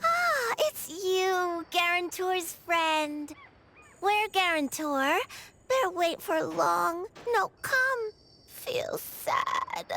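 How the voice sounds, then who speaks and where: A woman's voice: extremely high pitch, big pitch swings, extremely slow, bright, forceful tone, faint music behind, full character voice. A woman voicing a small, gleefully malicious shapeshifting teddy bear in a video game, theatrical and taunting in a childlike way. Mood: mock pitiful. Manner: theatrical, taunting, speaks of itself in third person. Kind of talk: anime-style video game voice acting.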